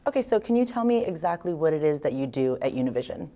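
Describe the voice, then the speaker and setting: A woman's voice: low-pitched, very animated, fast talker, mellow. A young woman, a student, conducting a friendly conversational interview. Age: young adult. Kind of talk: interview questions.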